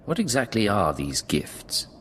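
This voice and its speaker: A deep, terse secret agent. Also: male voice, very animated, a little slow, fairly bright tone, slight background noise. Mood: impatient. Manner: clipped, businesslike replies. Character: male imperial field agent, terse and dry. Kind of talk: video game voice acting.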